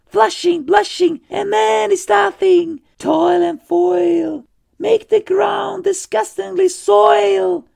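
A shrill croaky witch. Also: steady tone, slow, a little mellow, heavily acted. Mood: mischievous. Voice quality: very high, croaky. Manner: sing-song rhyming chant. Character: cackling rhyming witch, mischievous.

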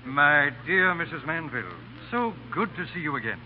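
An actor playing a gallant, smooth-talking mayor with a courtly, formal manner in 1930s radio style. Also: male voice, a little high, big pitch swings, moderate pace. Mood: gracious. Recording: noisy, tinny 1930s radio recording.

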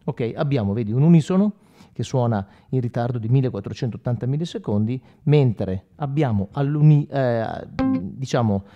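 A man's voice: medium pitch, lively intonation, normal speed, mellow, muffled recording. A man in his forties demonstrating gear as a guitar instructor, speaking conversationally straight to the viewer and checking in with short questions. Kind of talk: informal tutorial, explaining while demonstrating. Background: electric guitar playing with effects.

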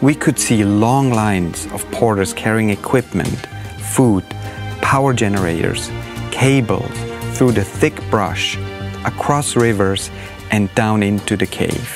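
A middle-aged man leading a drone team, narrating an expedition in a steady, matter-of-fact way. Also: on the low side, slow. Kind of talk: documentary narration. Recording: music bed under voice.

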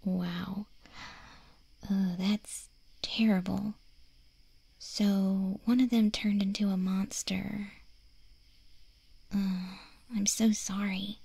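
A woman playing a friendly, curious young wolf girl in an ASMR roleplay, speaking softly and gently in a breathy, hesitant near-whisper. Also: mid-range voice, no room sound, heavily acted. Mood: sympathetic. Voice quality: soft, breathy, mostly whispered.

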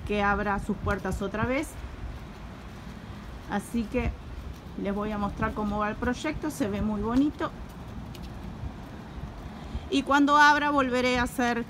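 A woman's voice: a little high, low-quality recording. A Uruguayan woman vlogging casually outdoors, chatting and repeating herself as she walks with her phone. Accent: Uruguayan Spanish. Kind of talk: casual walking vlog. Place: outdoors on a town street. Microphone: phone camera held while walking. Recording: street noise behind.

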